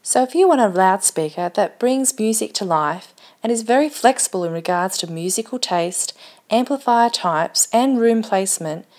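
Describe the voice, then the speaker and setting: Female narrator for a product promo, scripted read at an ordinary mid-range pitch. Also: animated, moderate pace, a little mellow.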